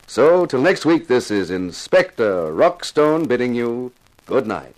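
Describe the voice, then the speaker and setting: An actor playing a wry, world-weary television star of a detective show, in 1950s radio drama style, dramatic and wisecracking. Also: male voice, medium pitch, very animated, measured pace, darkish tone, rough recording. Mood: grave, inviting. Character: wry, world-weary male TV actor who plays a hard-boiled inspector. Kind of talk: 1950s radio detective drama acting with first-person narration.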